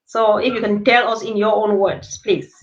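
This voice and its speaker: A woman's voice: mid-range voice, steady tone, normal speed, lo-fi sound. A woman hosting an interview, speaking in a formal, courteous way. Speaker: host.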